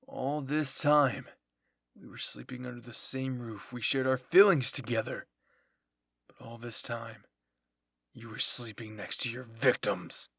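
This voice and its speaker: A man's voice: medium pitch, fairly quick, no echo, clean studio sound, lightly acted. A man voicing a sardonic, betrayed young male soldier in an anime fan-fiction reading, accusing and emphatic. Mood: bitter accusation.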